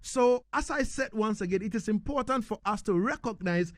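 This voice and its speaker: A man's voice: high-pitched, moderate pace, dry recording, clean studio sound. A man hosting a live radio interview in an emphatic manner, often checking that he is understood. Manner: emphatic, repeats "you know what I mean". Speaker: radio host. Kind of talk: live radio interview, reading listener messages.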